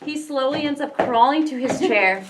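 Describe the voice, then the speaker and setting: A woman's voice: high-pitched, normal speed. A woman reading scripted stage directions as narrator at a staged play reading. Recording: very noisy, crowd sounds behind.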